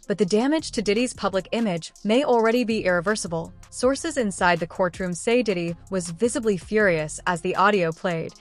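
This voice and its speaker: A woman reading scripted news narration in a dramatic style. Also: medium pitch.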